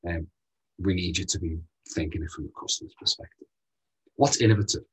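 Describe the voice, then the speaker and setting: Low-voiced, very husky man presenting a webinar. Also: rough recording. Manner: direct, brisk, rhetorical questions, frequent ums. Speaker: space ambassador presenting a funding webinar. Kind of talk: webinar presentation over slides.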